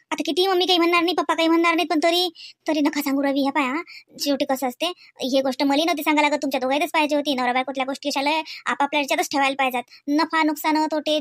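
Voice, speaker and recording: A woman's voice: high voice, fairly bright tone, no room sound, clean studio sound. A woman playing an earnest sister-in-law in a cartoon, coaxing and preaching in a Vidarbha Marathi accent with frequent questioning tags. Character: earnest, persuasive sister-in-law counselling a young man. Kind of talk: cartoon dialogue in rural dialect.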